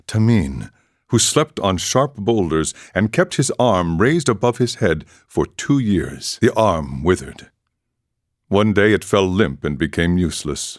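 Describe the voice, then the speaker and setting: Very deep, solemn warrior monk. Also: male voice, dry recording, studio quality. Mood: solemn, serene. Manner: measured, solemn, aphoristic storytelling. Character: weathered philosophical warrior monk, calm and ascetic. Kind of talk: video game character dialogue.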